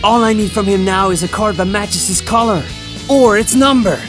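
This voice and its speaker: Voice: mid-range voice, over music, lightly acted. An amateur voice actor playing a tense young anime card player, delivered as an intent inner monologue in a comic dub. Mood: tense hope.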